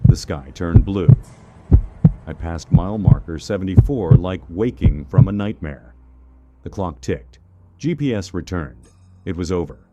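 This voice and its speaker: A man narrating a scripted first-person horror story in a measured, suspenseful manner. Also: low voice, highs cut off, background noise.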